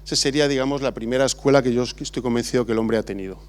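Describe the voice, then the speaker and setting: A man's voice: mid-range voice, rapid-fire. A middle-aged man from Spain, an architect, talking informally at an awards ceremony with many restarts and fillers. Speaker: architect giving an award acceptance talk. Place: large exhibition hall.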